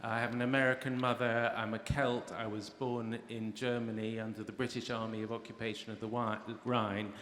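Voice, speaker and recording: A man's voice: fairly low pitch, flat delivery, moderate pace, hardly any pauses, a bit bright. Man from west wales asking the panel a question, gets worked up, echoey hall, faint crowd hum. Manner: impassioned, with rising outbursts. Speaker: audience member asking a question. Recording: roomy hall with faint ambience.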